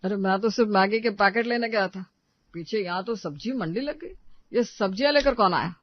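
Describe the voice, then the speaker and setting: A man's voice: high voice, a little fast, no room sound. A man playing a middle-aged husband who grumbles sarcastically at his wife. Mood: grumbling. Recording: dull dry voice-over.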